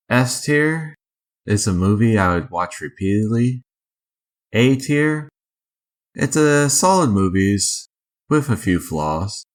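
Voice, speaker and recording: A man with a fairly low voice hosts a casual ranking video. He talks informally, giving his own opinions in a conversational review style with small qualifying asides. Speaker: video host ranking films.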